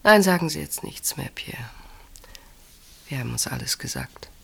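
A low-pitched anguished woman in a German radio drama. She is secretly in love with her sister's husband and speaks with dramatic intensity, moving between outcry and hushed, halting words. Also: lively intonation, gravelly, breathy edge, whispered, exaggerated voice. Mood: wounded, resigned. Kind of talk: German radio play acting.

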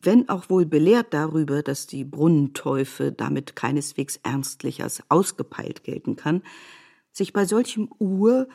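A woman narrating a literary radio play in measured, deliberate phrases, as a reflective storyteller. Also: sits low, animated, gravelly, dark tone, exaggerated voice.

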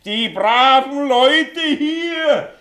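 An ageing, embittered professor in a radio play. The voice rides high for a man and moves slowly, with declamatory weight and a brooding, volatile temper. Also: animated, pushed and bright, exaggerated voice. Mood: prophetic, agitated. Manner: declamatory, slow, dramatic. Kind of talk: 1960s German radio drama acting.

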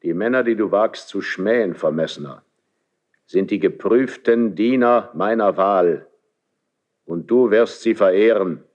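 A man's voice: fairly low pitch, a little slow, a little mellow, lightly acted. A man playing a stern, lonely ageing king in classical verse radio drama, speaking in a solemn, declamatory way. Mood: stern, rejecting.

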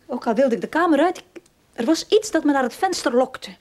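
An actress playing a haunted, uneasy widow in a radio drama, husky, deliberate and defensive. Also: female voice, sits high, expressive, darkish tone. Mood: frightened, hushed. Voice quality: husky, breathy.

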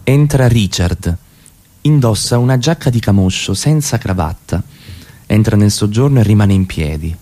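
A man's voice: on the low side, animated, moderate pace, warm tone. A man narrating a radio play, reading scripted stage directions.

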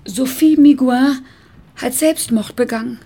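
A woman's voice: high-pitched, a little slow, mellow, slight background noise. An actress playing a chatty, easily dismayed woman carer in a radio drama, exclaiming and fretful but deferential.

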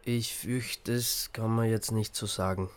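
A man's voice: lowish voice, steady tone, measured pace, lightly acted. An actor with an Austrian accent playing a sardonic, aggrieved, colloquially exaggerating man in a radio drama. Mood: dry, uneasy. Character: sardonic, aggrieved man, prone to lurid storytelling.

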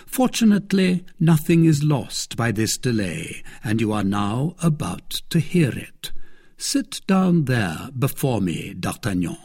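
Guy reading as a grand scheming cardinal, formal n condescending, pitch swings up and down, bit husky, audiobook style. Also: sing-song, measured pace, a little mellow, full character voice. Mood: grand, courteous. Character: grand, shrewd cardinal, commanding male statesman, persuasive and disdainful.

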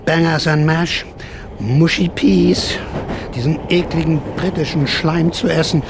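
An older man narrates in a wry, personal way, like a storyteller on a radio feature. His voice sits a little high for a man, and there is clear location noise behind him. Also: steady tone, a little slow. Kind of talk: personal narration mixed with casual interviewing.

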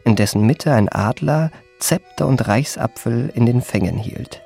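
A suave young gentleman impostor in a literary radio play. His voice sits at a medium pitch and his phrasing is ornate, courtly and effusive. Music plays faintly behind him. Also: unhurried, creaky, husky. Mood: smooth, self-satisfied. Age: young adult. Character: suave young impostor posing as a flattering marquis. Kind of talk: first-person literary radio drama narration with acted dialogue.

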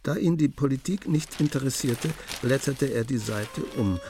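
A man narrating a scripted radio play in a measured storytelling manner. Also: medium pitch, lively intonation, dark tone, a little hiss or hum.

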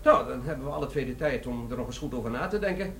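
An officious middle-aged manager with an animated, swooping delivery. He is formal and condescending, in an echoey radio-drama room. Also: medium pitch, quick pace, gravelly. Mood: coolly polite. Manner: formal, condescending, dryly polite. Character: officious, dry, sceptical middle-aged male company boss. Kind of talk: comic crime radio play acting. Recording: echoey room, faintly noisy radio recording.

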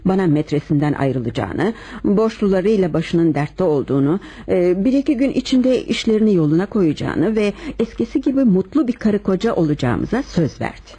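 A woman acting a composed, lovelorn wife confessing to murder in a Turkish radio play, with measured dramatic delivery. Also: on the low side, very animated, mellow, breathy edge, full character voice. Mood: grave recounting.

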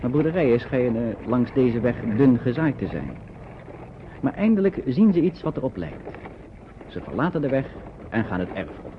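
A man's voice: medium pitch, sing-song, moderate pace. A man reading scripted narration for a radio play. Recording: dull, noisy old radio recording.

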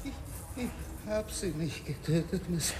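A frail old suspect in a radio drama. The voice is thin, shaky and scared, begging and pleading. It echoes with long reverb in a large space. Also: male voice, high-pitched, expressive, slow, darkish tone, a little hiss or hum. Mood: frightened, pleading.

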